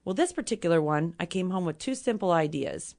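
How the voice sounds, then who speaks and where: Hoarse woman, a bit low. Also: lively intonation, fairly quick, a little mellow. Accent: Midwestern American. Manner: earnest, explains with everyday examples. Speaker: company president, guest. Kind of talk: casual interview talk.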